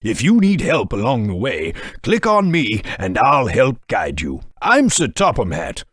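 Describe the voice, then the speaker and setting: A man's voice: mid-range voice, huge pitch swings, measured pace, a bit bright, breathy edge, no echo, studio quality, full character voice. A man voicing a stout, kindly railway director who guides the player through a game with formal, patient, encouraging instructions. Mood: instructive. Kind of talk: game voice clips.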